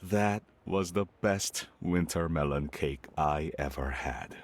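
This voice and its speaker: A man voicing a gentle, nostalgic father in a video game, speaking tenderly. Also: rumbling low, slow, slight background noise, full character voice. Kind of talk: video game character dialogue.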